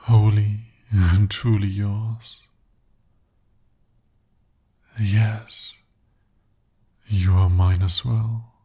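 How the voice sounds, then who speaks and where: A man voicing a devoted, possessive male demon in an ASMR romance roleplay, speaking softly and formally. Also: fairly low pitch, flat delivery, drawn-out words, husky, full character voice. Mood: hushed. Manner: soft, intimate, formal speech. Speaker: voice actor.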